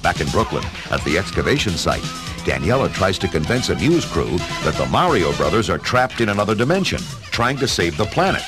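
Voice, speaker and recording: Deep-toned dramatic male story narrator. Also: sing-song. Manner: measured, dramatic. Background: dramatic music.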